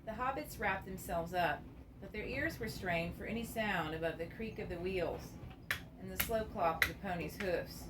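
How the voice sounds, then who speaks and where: A woman's voice: medium pitch, normal speed. A woman reading scripted story narration for an amateur radio theater piece. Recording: clearly noisy amateur recording.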